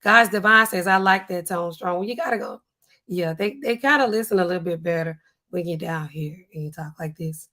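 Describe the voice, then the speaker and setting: Hoarse woman pharmacist on a livestream. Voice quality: husky, weak voice. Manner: animated, drops low and slow to sound stern. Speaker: pharmacist streamer. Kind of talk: casual livestream chat reading comments. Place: home studio with neon sign.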